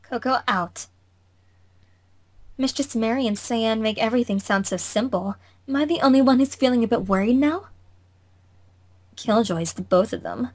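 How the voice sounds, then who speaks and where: Girl doing a cocky bratty anime mage girl, kinda high voice, snappy and exclamatory, fandub style. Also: normal speed, pauses often, darkish tone, natural voice. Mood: confident, slightly worried. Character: irritable, cocky young girl mage with a staff. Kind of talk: amateur anime visual novel dub.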